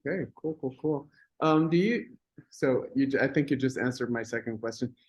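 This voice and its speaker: A man interviewing a guest for a film festival in an informal, halting way, searching for words. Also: mid-range voice, slightly rough.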